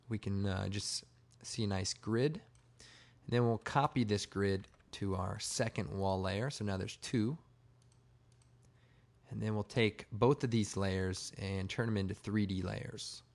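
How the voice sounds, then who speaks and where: A man with a slightly hoarse, raspy voice giving a casual, informal software tutorial, explaining step by step. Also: lowish voice. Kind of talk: casual screen-recorded tutorial narration.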